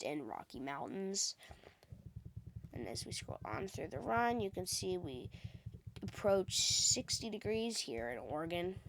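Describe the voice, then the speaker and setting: A woman's voice: medium pitch, no room sound. A woman who is a little sick, giving an informal, explanatory amateur weather forecast as she talks through maps. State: sick with a cold. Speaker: amateur weather vlogger, host. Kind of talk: casual weather forecast commentary over maps.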